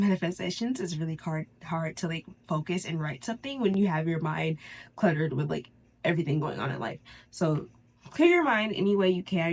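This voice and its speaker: A woman with a stuffy, congested nose hosting a casual, chatty spiritual tutorial, informal and halting with pauses for breath. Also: fairly low pitch, darkish tone. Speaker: host of a spirituality channel.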